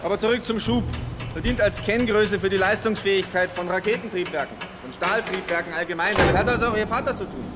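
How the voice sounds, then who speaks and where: An actor, chatty and explanatory. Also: male voice, high voice, quick pace, lightly acted. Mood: explaining. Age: young adult. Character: brisk, casual young male rocket engineer boss. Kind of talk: radio play dialogue. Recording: noisy, with car and machinery ambience.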